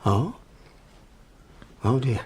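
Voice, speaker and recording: A man's voice: mid-range voice, big pitch swings, extremely slow, a little mellow, breathy edge, heavily acted. A man playing an evasive, easily flustered middle-aged husband and father in an audio drama. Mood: guarded, tender.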